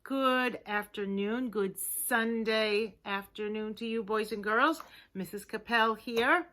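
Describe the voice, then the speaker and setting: A woman speaks as a teacher addressing young children on camera. Her voice is bright and forward, and she takes her time. She uses simple, gently encouraging phrasing with deliberate emphasis on key words. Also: fairly high pitch.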